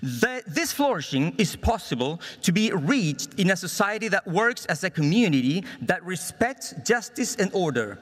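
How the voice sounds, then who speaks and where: A man from Chile with a Chilean Spanish accent gives a formal, prepared political speech in English as a conference speaker, earnest and deliberate, stumbling slightly on some words. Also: high voice, big pitch swings. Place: conference hall in Budapest. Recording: reverberant large hall sound.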